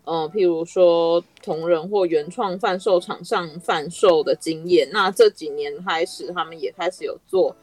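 A woman's voice: lowish voice, moderate pace, hardly any pauses, faint music behind. A woman with a Taiwanese Mandarin accent hosting a podcast, explaining things in a conversational way. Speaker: podcast host.

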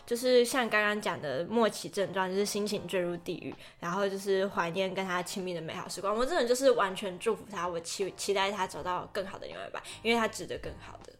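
A woman's voice: medium pitch, fast, hardly any pauses. A woman co-hosting a podcast in casual, conversational talk. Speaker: podcast co-host.

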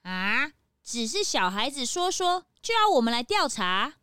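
A woman playing a cheeky, excitable young detective's assistant in a children's audio mystery drama. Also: on the high side, unhurried, clear, resonant tone, dry recording, exaggerated voice. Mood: cheeky, skeptical. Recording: music behind the voice.

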